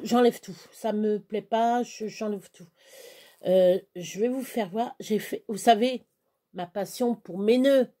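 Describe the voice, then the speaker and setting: A woman's voice: medium pitch, warm tone. An older woman from central France, chatting casually to her followers with many hesitations and restarts while she works on crafts. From Touraine, France. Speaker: craft vlogger. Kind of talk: rambling casual chat.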